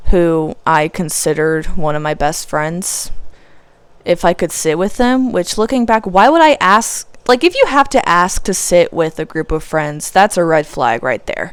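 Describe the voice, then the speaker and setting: 19 yo girl vlogging about her life, smooth voice, low-ish, dry close mic, laughs a lot. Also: no echo. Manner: candid, confessional, laughs often. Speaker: YouTuber, film student. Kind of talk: casual personal vlog. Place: small room, poorly lit.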